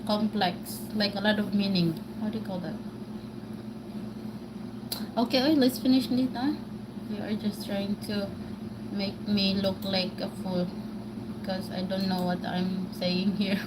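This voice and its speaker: A woman's voice: mid-range voice, fairly even intonation, a little slow, long pauses, heavy background noise. A woman vlogger with a Filipino Bisaya accent, talking live to her viewers in a rambling way, often stopping with filler words and restarting. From Philippines. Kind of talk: live-stream rant to viewers.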